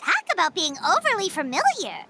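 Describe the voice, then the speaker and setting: Tiny fairy sidekick, extremely high, wildly swooping, cheeky, childlike, video game. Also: female voice, huge pitch swings, moderate pace, over music, exaggerated voice. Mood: cheeky surprise. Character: small childlike fairy companion, cheeky and blunt.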